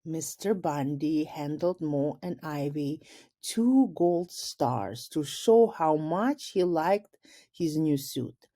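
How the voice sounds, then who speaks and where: A woman with a fairly low, relaxed voice reads a picture book aloud. She takes her time and is deliberate, like a teacher who pauses to explain things to children. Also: no echo. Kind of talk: storybook read-aloud with brief explanations.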